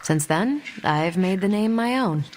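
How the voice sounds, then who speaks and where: An actress playing a confident, easygoing American superhero in a video game, with a wry, casual manner. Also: female voice, medium pitch, animated, unhurried, darkish tone, slight background noise, close to an everyday voice. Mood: frank, reflective. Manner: wry, casual, self-assured. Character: confident, easygoing superhero woman, ex-Air Force pilot. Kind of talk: video game dialogue acting.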